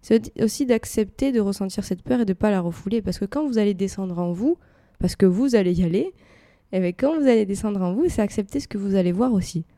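A woman's voice: medium pitch, fairly quick, dark tone, dry recording, studio quality. A woman podcast host with a stuffy head cold, musing aloud in a casual personal monologue with many hesitations. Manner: reflective, rambling, many hesitations. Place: indoors, air-conditioned room.

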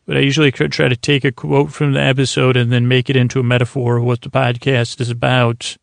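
A middle-aged man with a creaky voice hosting a bedtime podcast in a slow, lulling, meandering way, haltingly repeating words. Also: mid-range voice, level tone, no room sound, clean studio sound. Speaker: podcast host. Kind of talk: rambling bedtime monologue for sleep.

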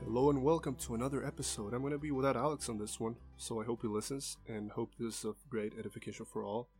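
A man's voice: mid-range voice, steady tone, quick pace, a little mellow, faint music behind. A man hosting a podcast alone, explaining a subject in an earnest, explanatory manner with small restarts. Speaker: podcast host. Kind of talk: solo teaching talk.

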